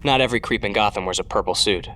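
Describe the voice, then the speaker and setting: A man's voice: lowish voice, racing, sharp tone. A man playing a determined, sardonic young vigilante in an audio drama, dry and insistent.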